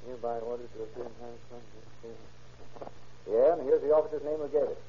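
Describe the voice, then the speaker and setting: Eager cop played by a man in old radio drama, mellow voice, dull recording. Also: medium pitch, flat delivery, brisk, lightly acted. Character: eager male police detective, middle-aged. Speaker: detective. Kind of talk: 1930s radio acting. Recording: dull vintage radio recording with faint hiss.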